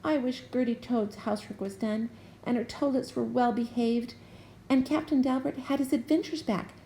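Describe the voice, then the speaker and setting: A woman voicing an excitable young male bullfrog in a storybook, talking in a high, eager way that turns breathless when he is frightened. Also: normal speed, slight background noise, natural voice, low-quality recording. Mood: hopeful.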